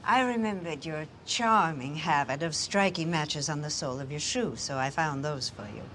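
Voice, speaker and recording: A woman's voice: deep voice, moderate pace, a bit bright, slight background noise. A middle-aged woman playing a grand, vain film actress in a period TV drama, speaking with mannered, precise, pointed courtesy. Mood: icily gracious. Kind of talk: television period drama acting.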